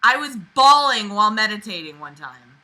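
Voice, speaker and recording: A woman reading aloud and commenting with exasperated, animated, emphatic reactions that swing dramatically in intensity. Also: mid-range voice, normal speed, poor audio.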